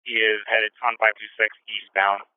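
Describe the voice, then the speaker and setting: A man's voice: on the low side, flat delivery, moderate pace, smooth, dry recording. Male trooper on tinny police radio. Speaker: state trooper in pursuit. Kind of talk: police radio traffic, short call-outs. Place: patrol car radio, heard on scanner.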